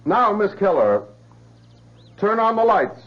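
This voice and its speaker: Obsessed scientist in old radio drama, husky, swings all over, dull recording. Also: male voice, high voice, big pitch swings, takes it slowly, heavily acted. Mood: urgent, commanding. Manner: insistent, emphatic, dramatic. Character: agitated, obsessive male scientist convinced machines conspire against him. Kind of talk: 1970s radio drama acting.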